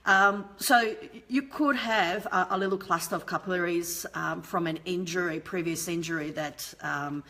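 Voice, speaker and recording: A woman's voice: lowish voice, moderate pace, hardly any pauses, sharp tone. Chatty woman teaching skincare on webcam. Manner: chatty, explanatory, many ums and restarts. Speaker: beauty therapist and educator. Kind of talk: live-stream skincare talk. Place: home room with lamp and shelf. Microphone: webcam at desk.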